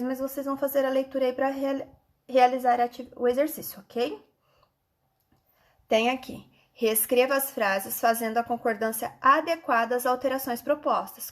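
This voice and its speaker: A woman with a Brazilian accent, a schoolteacher explaining textbook exercises to children in an instructive way with frequent check-in questions. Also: high-pitched.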